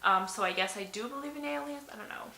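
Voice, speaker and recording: Bright-voiced chatty young woman vlogger. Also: fairly high pitch, fast talker, creaky, reverberant, slight background noise. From Slovenia. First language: Slovene. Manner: chatty, many ums and likes, laughs often. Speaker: beauty vlogger. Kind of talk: casual tag video chat. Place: bedroom, indoors.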